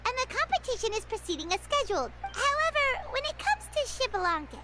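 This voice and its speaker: Tiny cheerful fairy sidekick, squeaky-high and bouncy in pitch, anime game with prominent music behind. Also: female voice, sing-song, fairly quick. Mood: helpful, earnest. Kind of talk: anime video game voice acting.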